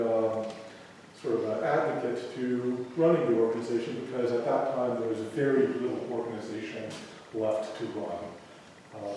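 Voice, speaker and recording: A man in his thirties with an Atlanta accent and a big voice speaks casually to an audience, often checking in with a quick "right?". He is recorded from far off in a small hall, so there is heavy echo and a long reverb tail, with faint room noise. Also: mid-range voice, warm tone. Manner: conversational, asks audience rhetorical questions. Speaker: guest speaker. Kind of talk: casual spoken talk to an audience. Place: small hall used for church meetings, indoors. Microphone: far camera, room audio.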